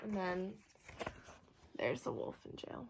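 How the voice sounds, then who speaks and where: A woman storyteller reading a storybook aloud to children, expressive, with asides and questions to her young listeners. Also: fairly low pitch, slow pace, noisy background.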